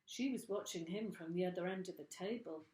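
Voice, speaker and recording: A female teacher reading a children's storybook aloud, slowly and expressively, in an echoey room. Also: lowish voice, mellow.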